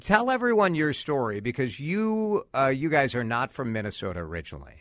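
A man's voice: mid-range voice, very animated, fairly quick, no room sound. A man hosting a radio interview show, talking with guests in a studio in an upbeat, enthusiastic way. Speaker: radio host.

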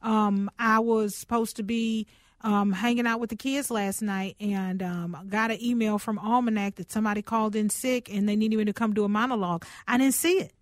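A woman's voice: medium pitch, fairly even intonation, normal speed, no room sound. A woman radio host, chatty and personal, interviewing a guest in a studio. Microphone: studio microphone.

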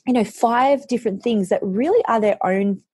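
Woman, hoarse, husky, dry close mic, animated storyteller, podcast chat. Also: a little high, moderate pace, dry recording. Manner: animated storytelling, quotes herself. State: hoarse after losing her voice. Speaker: author.

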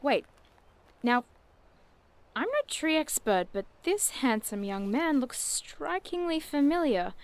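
A woman's voice: high voice, very animated, takes it slowly, full character voice. A woman playing a curious, bookish cryptid investigator in an audio drama, earnest and wordy with dry playfulness. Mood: thoughtful, intrigued. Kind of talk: audio drama acting, naturalistic dialogue.

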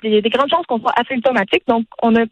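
A woman's voice: on the high side, no room sound, highs cut off. A teenage girl with a Quebec French accent, a radio interview guest talking earnestly, often tagging her sentences with "là". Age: teens. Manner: earnest, fast-talking, frequent "là" tags.

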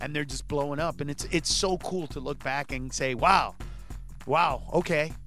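Male stand-up comic in his 40s, born in Honduras, interview guest; fairly high voice, lively, shouts for punchlines. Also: normal speed, soft background music. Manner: animated, rising to shouts when acting out bits. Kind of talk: casual interview chat.

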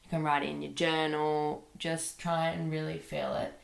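A woman's voice: deep voice, level tone, normal speed, creaky. Sick young woman podcasting. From Australia. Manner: chatty, rambling, lots of fillers like "like" and "um". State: sick with a bad cold. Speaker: podcast host. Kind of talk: casual solo podcast monologue. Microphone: computer microphone. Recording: echoey room.

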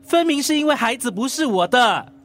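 An actor playing a jealous, suspicious husband in a radio drama, sarcastic and confrontational, with a Singaporean accent. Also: male voice, very high-pitched, animated, brisk, fairly bright tone, faint music behind. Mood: suspicious, accusing. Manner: confrontational, accusing.

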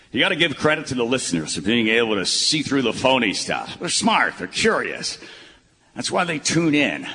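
A man playing a rambling, sentimental older baseball broadcaster, with halting, folksy oratory in a radio play. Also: mid-range voice, pushed and bright. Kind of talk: live radio play acting. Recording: echoey live hall, faint noise.

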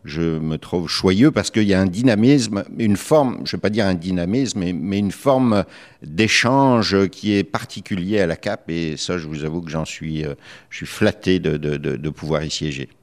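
A middle-aged man from France with a French accent, answering interview questions as a politician in a measured, hesitant, careful way. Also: low-pitched. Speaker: politician, member of the Quebec legislature.

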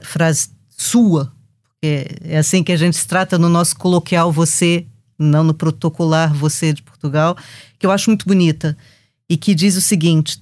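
A Brazilian-born woman journalist hosting a podcast interview, reflective and warm, often restarting her phrases. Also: lowish voice, dry recording, clean studio sound.

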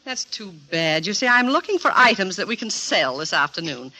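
A woman's voice: medium pitch, very animated, normal speed, pushed and bright. A woman playing a polite, persistent society lady customer in a 1940s radio comedy, well-spoken in manner. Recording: noisy 1940s radio broadcast recording.

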